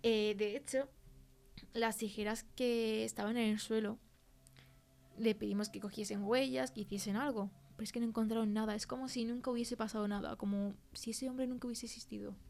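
A woman plays a film worker giving her witness account in an interview, with an accent from Spain. Her pitch stays level, with little rise or fall, in a conversational delivery. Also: fairly even intonation, a little fast, vocal fry, a little mellow. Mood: earnest. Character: female film crew worker recounting a frightening experience. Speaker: interview guest. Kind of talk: conversational fictional testimony.

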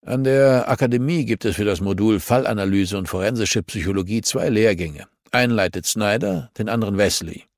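A man's voice: on the low side, fairly quick, hardly any pauses, no echo, clean studio sound, lightly acted. A man voicing a stern, domineering older police academy president in an audiobook, with a growling, curt, commanding manner.

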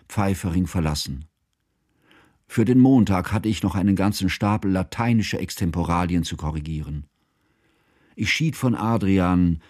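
Deep, mellow, sorrowful scholar. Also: male voice, dry recording, studio quality. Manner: measured, formal, sombre. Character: grave, sorrowful, learned male schoolteacher recounting his friend's tragedy. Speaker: narrator. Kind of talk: literary first-person narration in radio drama.

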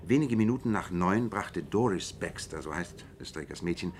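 An actor playing a keen, self-assured detective who recounts a case precisely and deliberately in a radio play. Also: male voice, low-pitched, expressive, darkish tone, a little hiss or hum. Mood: measured, intent. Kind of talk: German radio play acting, narrative.

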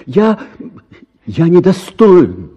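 An actor playing a timid, evasive young suitor in a radio play, stammering and apologetic. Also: male voice, sits high, huge pitch swings, drawn-out words, mellow, full character voice. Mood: sheepish, self-deprecating. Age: young adult. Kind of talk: theatrical radio performance of a classic Russian story. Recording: dull sound in a reverberant hall.

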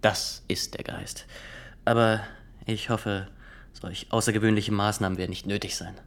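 Low-voiced, zealous young inspector, played by a man. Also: flat delivery, lightly acted. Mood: solemn, fervent. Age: young adult. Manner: formal, halting, insistent questioning. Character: zealous young state inspector, nineteen, formal and probing. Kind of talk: German radio drama acting.

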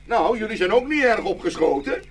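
A man's voice: high-pitched, lively intonation, a little fast, husky, heavily acted. A man playing a naive, eager and cheerful youngest brother in a fairy-tale audio play. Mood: proudly cheerful. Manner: eager, guileless.

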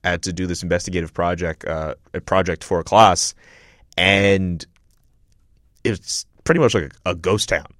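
Low-voiced young male podcast host. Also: steady tone, moderate pace, a bit bright, dry recording, clean studio sound. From Montreal, Canada. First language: not English; French is his second language. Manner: rambling, many fillers and restarts. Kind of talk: casual interview chat.

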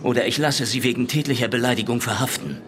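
An actor playing a cold, threatening law official, haughty and clipped, in a German radio drama. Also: male voice, medium pitch, fast talker, a crowd in the background, natural voice. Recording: noisy background ambience.

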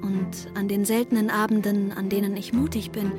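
An introspective, restless woman in her twenties voiced by an actress narrates her own thoughts in a radio drama. Her voice sits at a middle pitch, intimate and reflective, with light music underneath. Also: level tone, normal speed, natural voice. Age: 20s. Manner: intimate, reflective inner monologue.

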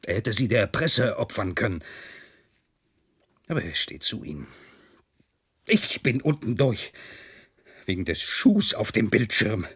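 A wary general in a radio play. His voice is deep and husky. He is curt and dismissive as he speaks. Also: very animated, unhurried, pauses often, a bit bright, heavily acted. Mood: exasperated. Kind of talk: German radio play acting.

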